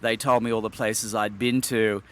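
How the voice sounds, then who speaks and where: Articulate Sydney-raised man, low voice. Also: flat delivery, normal speed. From Sydney, Australia. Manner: articulate, wordy, with hesitant fillers and small laughs. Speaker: wine expert guest. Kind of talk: relaxed interview talk.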